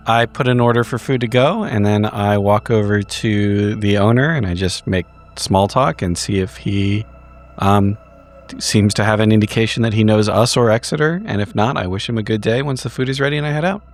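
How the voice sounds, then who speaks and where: A man playing a tabletop game, narrating his character's actions in measured, careful phrasing. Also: on the low side, soft background music.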